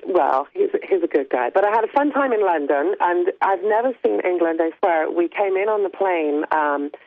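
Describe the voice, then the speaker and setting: A woman hosting a radio talk show, chatting casually and laughing often, her voice still rough from laryngitis. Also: on the low side, animated, normal speed, dry recording. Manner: chatty, laughs often while telling stories. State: recovering from laryngitis. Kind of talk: casual on-air chat. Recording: tinny phone-line sound, dry.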